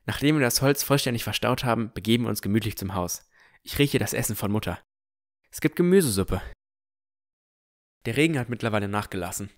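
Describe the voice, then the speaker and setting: A man playing an earnest, curious boy who narrates a fantasy audio drama in a measured, descriptive way. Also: on the low side, no echo, studio quality, natural voice. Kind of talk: scripted first-person audio drama narration.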